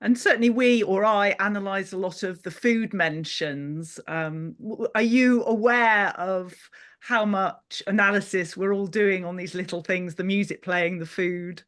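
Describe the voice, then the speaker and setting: A woman's voice: medium pitch, measured pace, a bit bright. A woman asking questions conversationally as a panel host. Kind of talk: conversational interviewing.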